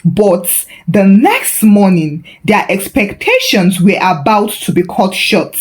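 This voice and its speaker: A woman's voice: medium pitch, big pitch swings, takes it slowly. A woman storyteller reading a scripted folktale narration.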